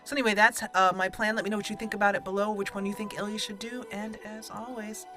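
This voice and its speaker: A woman's voice: medium pitch, flat delivery, fast. A woman hosting a roleplay gameplay video, chatty and friendly, laughing easily as she talks her viewers through the game. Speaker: gaming channel host. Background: game music.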